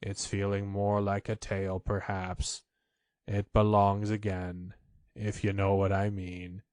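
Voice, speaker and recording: Man doing a slow, low, gloomy old donkey. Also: dry recording. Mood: mournful, deadpan. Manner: slow, grumbling, resigned, deadpan. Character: gloomy, long-suffering old male donkey. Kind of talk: children's storybook character voice.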